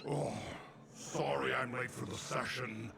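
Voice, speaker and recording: A man playing a laid-back demon who deals in souls, with a gravelly, creaky voice, in a video game scene. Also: mid-range voice, steady tone, measured pace, very noisy. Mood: nonchalant. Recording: loud music behind.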